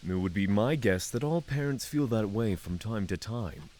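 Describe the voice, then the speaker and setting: A man playing a solemn, courteous dragon lord in a fantasy audio drama, speaking in formal, measured phrases. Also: lowish voice, expressive, darkish tone, no room sound, natural voice. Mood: tactful. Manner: formal, measured, thoughtful diction.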